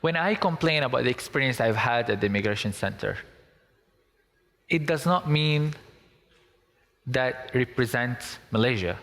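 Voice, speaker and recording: A man's voice: medium pitch, animated, moderate pace, long pauses, fairly bright tone. A young man from Syria giving an earnest, emphatic public talk. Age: young adult. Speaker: speaker. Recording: long hall reverb.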